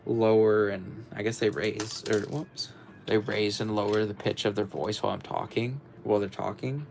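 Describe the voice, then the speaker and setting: A man's voice: fairly even intonation, normal speed, a little mellow, slight background noise. A young man hosting a casual livestream, talking in a rambling, hesitant way with lots of fillers and restarts. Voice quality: deep, gravelly voice. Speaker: livestream host. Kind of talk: casual livestream chat while building.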